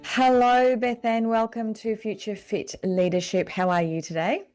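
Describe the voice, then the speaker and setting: A woman's voice: a little high, steady tone, unhurried, a little mellow, soft background music. A woman hosting an interview podcast, introducing and questioning a guest. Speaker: podcast host.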